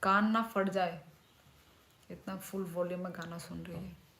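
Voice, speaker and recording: A woman's voice: fairly low pitch, steady tone, measured pace, gravelly, a bit bright, slight background noise. A woman in her thirties, a native Kokborok speaker, chatting casually with viewers on a livestream and reading their names aloud. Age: 30s. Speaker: livestream host.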